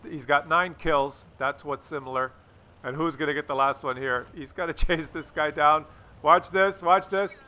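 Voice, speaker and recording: Male game commentator, slightly high pitch, sharp bright tone; casual coaching, halting, repetitive, rambling delivery. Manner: rambling, halting, repeats himself. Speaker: gaming video host and commentator.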